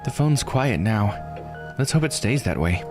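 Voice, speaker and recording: A man's voice: mid-range voice, lively intonation, unhurried, roomy sound, in a large hall, voice pushed up, lightly acted. An uneasy yet determined young woman, acted out by a man tells her inner thoughts in a visual novel. The voice is raised well above natural talk and earnest in delivery. Music plays behind it. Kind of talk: dramatic first-person visual novel read-aloud.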